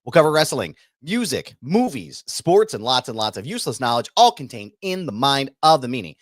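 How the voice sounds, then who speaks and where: A man turning forty who hosts a casual show, upbeat and quick to laugh. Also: mid-range voice, big pitch swings, no room sound, studio quality. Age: 30s. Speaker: host. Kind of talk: casual chat.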